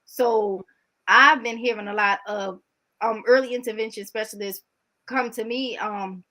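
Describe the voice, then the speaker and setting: Higher-pitched woman, candid and informal. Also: steady tone, unhurried, bright, forceful tone. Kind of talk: conversational interview answers.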